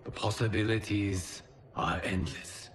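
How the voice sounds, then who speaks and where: An actor playing a solemn, wise warrior god and keeper of time in a video game, measured and earnest. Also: male voice, lowish voice, fairly even intonation, noisy background, natural voice. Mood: grave. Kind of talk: video game cutscene acting.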